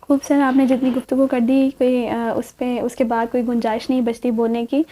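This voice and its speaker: A woman with a fairly high voice hosts a literary discussion. She speaks politely and shows appreciation as she guides the programme along. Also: flat delivery, moderate pace, warm tone. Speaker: talk show host.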